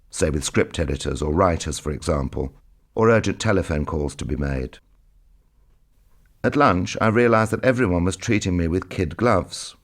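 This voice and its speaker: Man, low-pitched voice, scripted memoir narration.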